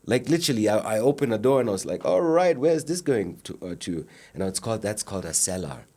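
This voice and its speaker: A man in his forties with a Kenyan accent and a notably deep, low-pitched voice. He tells his life story as a podcast guest, animated and playful, acting out scenes from his past. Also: quick pace. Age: 40s. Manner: animated storyteller, acts out his younger self. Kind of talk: casual interview storytelling.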